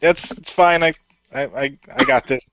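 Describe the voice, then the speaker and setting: A man's voice: mid-range voice, moderate pace, a bit bright, dry recording, voice pushed up, close to an everyday voice. Rookie superhero for radio play, man pushing his voice up as eager, clumsy, stammering, recorded dry and slightly muffled. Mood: strained. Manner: stammering, repeats words. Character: eager, clumsy rookie hero in a rocket suit.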